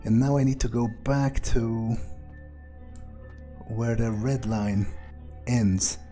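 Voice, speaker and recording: A man talks himself through a video game in character, at a slow, deliberate pace. His voice is dark and relaxed, muttered like private thoughts with the odd swear word, over game music. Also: medium pitch. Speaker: gamer doing an in-character playthrough. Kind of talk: hushed thinking-aloud game commentary in character.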